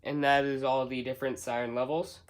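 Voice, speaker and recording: A man doing an unscripted product walkthrough, halting as he thinks aloud step by step. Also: medium pitch, level tone. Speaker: hobbyist product reviewer. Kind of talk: unscripted unboxing and setup walkthrough.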